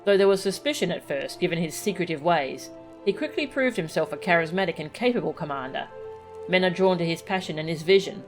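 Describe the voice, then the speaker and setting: A woman's voice: on the low side, animated, brisk, over music, natural voice. A woman voicing a grave, dignified, wary settlement leader from a video game, speaking solemnly and formally. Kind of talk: reading video game dialogue aloud.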